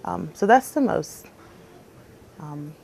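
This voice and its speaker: A woman's voice: deep voice, animated, slow pace, creaky, mellow, a little hiss or hum. A woman working as an emergency dispatcher, explaining her job in a plain-spoken, earnest way as if interviewed.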